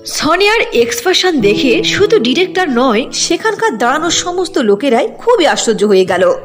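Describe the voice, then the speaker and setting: Female fairy-tale narrator: fairly high voice, very lively intonation, faint music underneath. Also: moderate pace.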